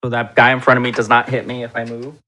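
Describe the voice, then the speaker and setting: A man with a medium-pitched voice explains his move in a tabletop role-playing game. His talk is casual, and faint plucked guitar music plays behind him. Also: monotone, fast talker, sharp tone.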